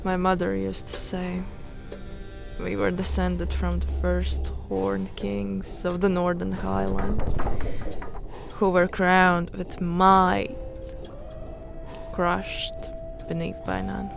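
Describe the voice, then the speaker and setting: A woman playing a proud, fervent highborn noblewoman in a fantasy audio drama, speaking in a solemn, deliberate, theatrical way. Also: fairly even intonation, creaky, music in the background, full character voice. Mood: solemn, bitter.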